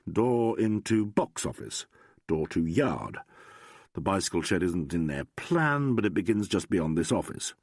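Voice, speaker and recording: A man voicing an urbane gentleman detective who talks quietly in a musing, wry way. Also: low-pitched, husky, dry recording, clean studio sound, voice pushed down, full character voice.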